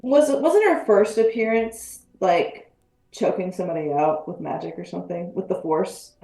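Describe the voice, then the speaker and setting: Halting woman in a roomy space. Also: fairly low pitch, gravelly, dark tone. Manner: halting, repeats words as she thinks aloud. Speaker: podcast panelist and player. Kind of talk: casual chat about a tabletop game. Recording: roomy, echoing sound.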